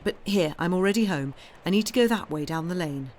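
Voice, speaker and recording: A woman voicing a young woman in an audiobook, frank and guarded, gently teasing, with a hesitant delivery. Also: fairly low pitch, animated, a little fast, a little hiss or hum, close to an everyday voice. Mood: gentle, guarded. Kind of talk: dramatised audiobook reading.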